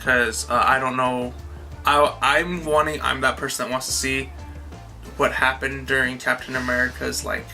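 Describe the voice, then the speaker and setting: A man's voice: medium pitch, flat delivery, normal speed, gravelly, bright, forceful tone, faint music behind. A man co-hosting a movie review in an informal, conversational style. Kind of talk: casual film discussion.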